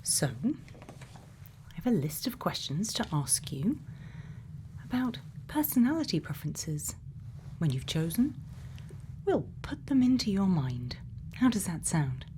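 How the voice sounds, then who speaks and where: A woman playing a gentle, quietly kind scientist in a soft, often whispered ASMR roleplay, soothing and reassuring with many pauses. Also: mid-range voice, sing-song, a little hiss or hum. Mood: gently curious. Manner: slow, soothing, pausing, reassuring. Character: gentle female scientist, quietly kind, programming a robot friend.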